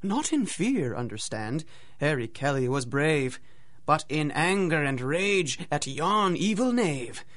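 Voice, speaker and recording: A man's voice: a little high, big pitch swings, takes it slowly, fairly bright tone, heavily acted. A man narrating a radio drama in a theatrical, dramatic storytelling manner.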